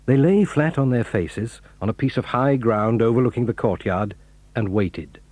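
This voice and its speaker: A man narrating a children's story in a calm, expressive storytelling manner. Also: medium pitch, normal speed, dry recording.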